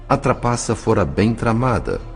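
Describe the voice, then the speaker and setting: Low-voiced man narrating a drama. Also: big pitch swings, moderate pace, faint music behind. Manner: formal, literary. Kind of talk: scripted dramatic first-person narration.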